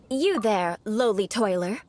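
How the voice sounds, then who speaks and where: A woman's voice: on the high side, no echo, exaggerated voice. A woman playing a proud young aristocratic knight in a video game, with a haughty, formal, courtly way of speaking. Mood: haughty, flustered. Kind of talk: dramatic video game voice acting.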